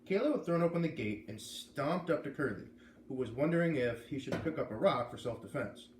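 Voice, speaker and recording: Animated man reading aloud, echoey room. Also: medium pitch, a little fast. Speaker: storybook reader. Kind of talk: expressive read-aloud narration. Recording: echoey room, faint background noise.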